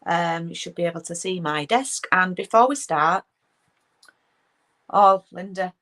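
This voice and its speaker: A woman's voice: on the low side, measured pace, fairly bright tone. A woman with a broad Yorkshire accent, hosting a casual live craft stream and greeting viewers by name as she chats. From Yorkshire, England. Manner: chatty, greets viewers by name, frequent ums and restarts. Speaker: card-making demonstrator hosting a live stream.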